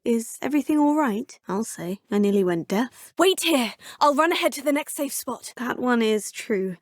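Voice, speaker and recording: A courteous young witch student speaks with formal, polished phrasing. Her voice sits a little high and carries a soft, breathy edge. The close studio recording is dry, with no room sound. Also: lively intonation, normal speed, no room sound. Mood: polite, curious. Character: young female witch student, curious and polite. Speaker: player character. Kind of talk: video game voice clips. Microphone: close studio mic.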